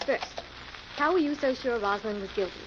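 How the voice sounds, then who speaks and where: Actress as inquisitive woman companion, high voice, rapid speech, eager, vintage radio drama, noisy old recording. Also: highs cut off, close to an everyday voice. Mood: curious. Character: eager, curious young female companion of the sleuth. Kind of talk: 1940s radio acting.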